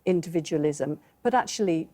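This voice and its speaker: A woman with a mellow, warm-toned voice taking part in a panel debate. She cuts in with quick, challenging questions. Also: low voice, expressive. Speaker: panel guest.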